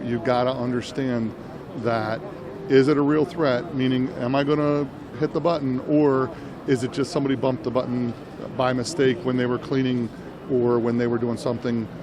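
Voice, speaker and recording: A man giving unscripted product demo commentary as an interviewer, explaining and rephrasing as he goes. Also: medium pitch. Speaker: interviewer at a trade show. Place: indoor trade show booth. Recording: noisy trade show floor.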